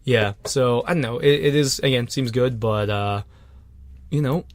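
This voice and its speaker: A man with a mid-pitched voice co-hosts a podcast in a relaxed way. He swears casually and chimes in often with agreement. The recording is dry and close-miked. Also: normal speed, dry recording. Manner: laid-back, swears casually, agreeing interjections. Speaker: podcast co-host. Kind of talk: casual chat.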